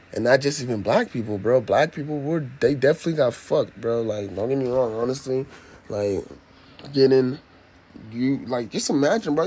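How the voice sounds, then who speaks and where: A man's voice: mid-range voice, darkish tone. A young man from Africa, a native speaker of an African language, talking casually and alone as a podcast host in a rambling, slangy, profane way. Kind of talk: casual solo podcast monologue.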